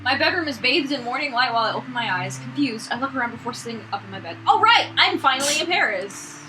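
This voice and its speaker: Earnest young painter man read by a higher-pitched woman. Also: expressive, fast, background noise, close to an everyday voice. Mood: excited.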